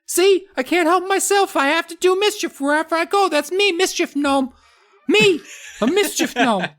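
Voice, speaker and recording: Woman voicing a shrill boastful gnome. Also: animated, normal speed. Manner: sing-song, repeats himself, proud of mischief. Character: high-pitched boastful male mischief gnome.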